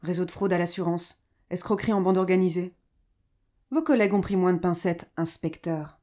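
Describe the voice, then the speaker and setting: Woman acting indignant businesswoman in an audio drama, warm low-brightness voice, snooty and biting. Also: normal speed, natural voice. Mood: cold, irritated. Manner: haughty, cutting. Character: defiant, indignant businesswoman under police questioning.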